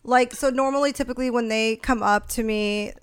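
A woman's voice: fairly high pitch, steady tone, brisk, clear, resonant tone. A young woman in casual podcast conversation, candid and slangy with some casual swearing. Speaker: podcast co-host.

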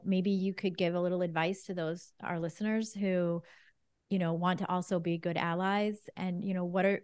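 A woman hosting an interview, asking questions in a calm, supportive way. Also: lowish voice, clean tone, no room sound, clean studio sound. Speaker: podcast host.

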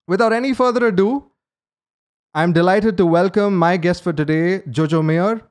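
High-voiced male interview host. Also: normal speed, smooth. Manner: formal, reads a prepared introduction. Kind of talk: hosted online interview.

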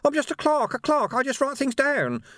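Squeaky, terrified little clerk. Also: male voice, sing-song, fast talker, a bit bright, dry recording, studio quality, full character voice. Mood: frightened protest. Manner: panicky protesting, gasping. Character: terrified small meek male clerk, pleading and stammering. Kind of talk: audiobook character voice.

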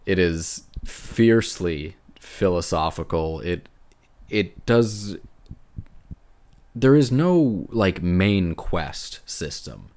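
Deep-voiced man, earnest and emphatic. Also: animated, very slow, darkish tone. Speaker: podcast co-host. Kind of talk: casual video game discussion.

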